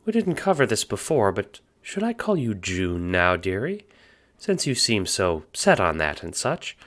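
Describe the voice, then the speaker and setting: Give me a kindly old grandmother with a lively, lilting voice, as a man puts her on for an audio drama. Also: fairly low pitch, measured pace, pitched above the performer's own voice, exaggerated voice. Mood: reassuring. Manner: folksy, calls people dear.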